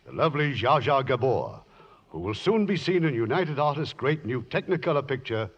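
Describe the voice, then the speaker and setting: A man's voice: mid-range voice, very animated, moderate pace, breathy edge. A man hosting a 1950s radio show, announcing from a script in a formal broadcast style. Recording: dull old radio, long hall reverb.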